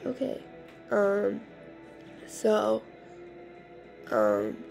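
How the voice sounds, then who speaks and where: A woman with a raspy, hoarse voice rambles through casual gameplay commentary, filling gaps with ums and uhs. Her pitch swings wildly up and down, her delivery is slow, and the voice has heavy gravelly fry, with faint music behind her. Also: big pitch swings, a little mellow. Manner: rambling, chatty, full of fillers. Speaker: gaming channel host.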